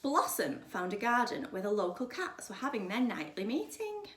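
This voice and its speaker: A woman's voice: on the high side, big pitch swings, moderate pace, a bit bright. A woman reading a children's story aloud like a primary teacher, with dramatic, emphatic delivery. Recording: echoey room.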